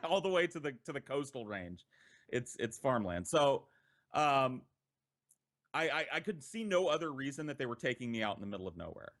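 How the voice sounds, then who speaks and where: An American man, a podcast storyteller, recounting an anecdote in a conversational, profane way with dramatic pauses. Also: medium pitch, big pitch swings, fairly bright tone. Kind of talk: casual anecdote telling.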